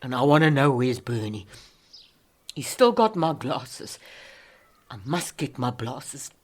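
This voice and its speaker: An ageing South African woman who is down and out. Her speech is slow and rambling, full of muttering to herself that breaks into feisty bursts. It is a lonely, defiant monologue from a radio play. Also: rumbling low, very animated, exaggerated voice. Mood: cold, forlorn.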